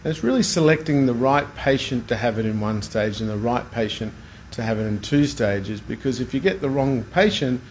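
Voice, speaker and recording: A middle-aged Australian man answers questions as a podcast guest. His voice sits at a medium pitch, and his delivery is casual, unassuming and a little hesitant. Room noise can be heard behind him. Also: animated, moderate pace, hardly any pauses. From Brisbane, Australia. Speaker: guest, plastic surgeon. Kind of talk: casual interview chat.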